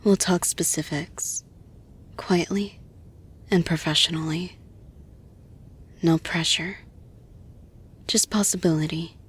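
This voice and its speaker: Woman acting slow, breathy, caring lady boss. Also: fairly low pitch, steady tone, full character voice. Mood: soothing, encouraging. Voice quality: breathy, husky. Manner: slow, deliberate, reassuring. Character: caring, composed female boss gently offering support. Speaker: audio roleplay performer. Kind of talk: intimate roleplay, soft-spoken and measured.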